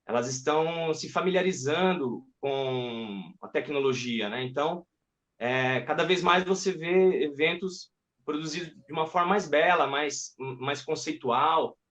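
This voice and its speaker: A man's voice: fairly high pitch, unhurried, a bit bright. Man in his thirties, hesitant rambling speech, video call interview. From Paraná, southern Brazil. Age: 30s. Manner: rambling, hesitant, many restarts and fillers. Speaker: guest, actor and puppeteer. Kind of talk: live-stream interview.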